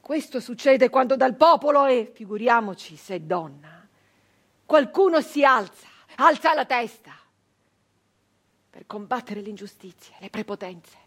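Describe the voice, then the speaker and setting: An actress playing an embittered, defiant nineteenth-century southern Italian brigand woman in a theatrical monologue, with insistent repetitions and weighty pauses. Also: a little high, animated, a bit bright, breathy edge, exaggerated voice. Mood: grave, scornful.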